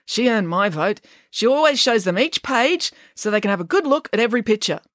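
A woman reads a plain-spoken man in a children's audiobook. He sounds casual and sincere, with a slightly raised, lively pitch and a dry, close sound. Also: fairly quick, no echo. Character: plain-spoken, earnest man running an animal shelter. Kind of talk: children's audiobook character acting.

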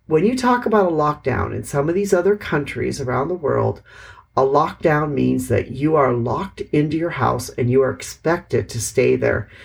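A podcast host living overseas, talking in a reflective, rambling way with lots of "sort of" hedges and self-corrections, and jumping in pitch when acting out remembered thoughts. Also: vocal fry, breathy edge. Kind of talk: casual solo podcast monologue.

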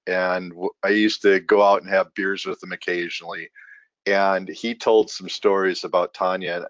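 Low-voiced joking male podcast host. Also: a bit bright, no echo, highs cut off. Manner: joking, self-deprecating, rambling. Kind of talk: casual podcast interview.

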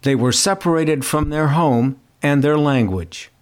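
A man reading scripted documentary narration in a measured, even way. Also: a little high, clear, resonant tone.

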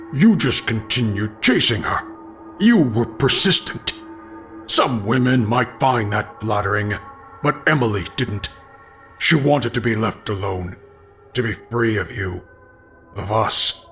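A male narrator plays a sinister masked doppelganger in a horror story. He is calm and taunting, speaking low-key, cold and deliberate in a lowish male voice. Music runs behind him. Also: full character voice. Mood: cold, menacing.

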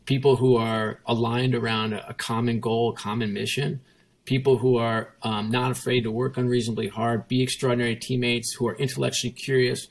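A man with a gravelly, husky voice tells his story in a relaxed interview. He leans hard on repetition and acts out the lines of the people in it. His pitch sits in a middle range. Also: a little fast. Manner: emphatic, repetitive, quotes himself and others. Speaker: former CEO, guest.

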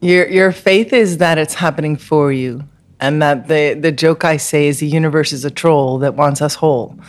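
A woman's voice: sits low, normal speed. A woman being interviewed as a therapist, telling a personal story in an earnest, candid, spiritual way, with many "like" and "um" fillers. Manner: frequent "like" and "um" fillers. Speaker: guest therapist.

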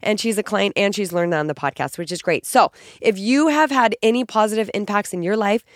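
A woman hosts her own podcast with a naturally raspy voice, roughened further and thick with nasal congestion from a cold. She speaks upbeat and emphatic, leaning on words to encourage her listeners. Her voice is recorded close and dry, with no room sound. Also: mid-range voice, fairly quick, no room sound. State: sick, extra nasal and raspy. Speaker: podcast host and fitness coach. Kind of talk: solo podcast monologue.

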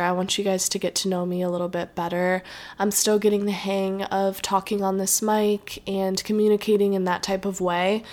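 Young woman rambling on her podcast, kinda nasal from allergies, chatty and reflective, mid pitch. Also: a little mellow. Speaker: podcast host. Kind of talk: casual solo podcast chat.

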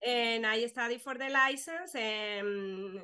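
A young woman whose first language is Spanish, talking earnestly in halting English with fillers as an interview guest. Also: fairly high pitch, bright, forceful tone. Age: young adult. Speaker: guest, life insurance agent. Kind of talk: casual interview chat.

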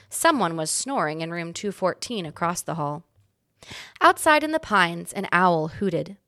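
A woman's voice: fairly low pitch, creaky, a bit bright, no echo, clean studio sound. A woman narrating a children's storybook expressively as a guest reader.

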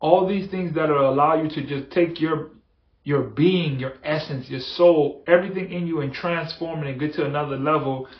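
A man's voice: fairly high pitch, moderate pace. A man hosting a motivational podcast, talking earnestly and repeating phrases for emphasis. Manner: earnest, repetitive, building emphasis. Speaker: podcast host. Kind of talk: motivational monologue. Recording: echoey, dull lo-fi room sound.

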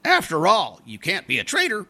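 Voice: mid-range voice, huge pitch swings, normal speed, pushed and bright, exaggerated voice. An actor playing a scheming, slangy teenage schoolboy in an old-time radio comedy, theatrical and wheedling. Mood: mock-wounded.

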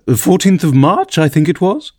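A man's voice: on the high side, sing-song, moderate pace, darkish tone, pitched above the performer's own voice. A man voicing a nervous, pitiful hat-seller in a children's storybook, with a trembling, anxious manner.

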